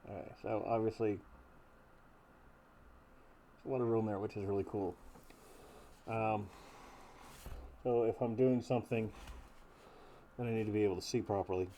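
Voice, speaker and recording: Soft-spoken man talking through a gas mask. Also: fairly low pitch, steady tone, moderate pace, long pauses, a little hiss or hum. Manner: soft-spoken, rambling with many ums. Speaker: gear reviewer. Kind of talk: casual unboxing talk.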